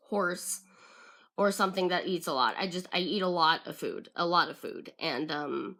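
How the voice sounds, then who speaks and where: Low, slow raspy young woman. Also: fairly bright tone. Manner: halting, with frequent ums and restarts. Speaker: vlogger. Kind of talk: casual personal vlog talk.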